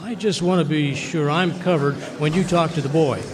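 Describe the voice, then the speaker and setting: Crooked frontier attorney male actor, wheedling and guarded, rather high voice, western radio play in a reverberant hall. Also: normal speed, crowd noise, close to an everyday voice. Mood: defensive, calculating. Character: Hector, crooked scheming male frontier lawyer, self-protective. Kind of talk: old-time western radio drama acting. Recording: echoey hall, live stage performance.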